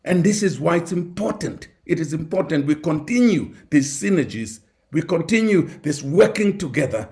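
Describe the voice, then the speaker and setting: A man's voice: a little high, a little mellow. A man speaking on a discussion panel in an emphatic, oratorical way, repeating phrases for effect. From Africa.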